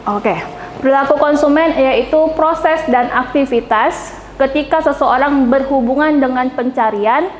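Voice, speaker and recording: A woman's voice: high voice, slight background noise. A woman lecturing a class in a chatty way, asking her students questions and checking for agreement. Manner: chatty, often asks "ya kan?" for agreement. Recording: echoey classroom with long reverb.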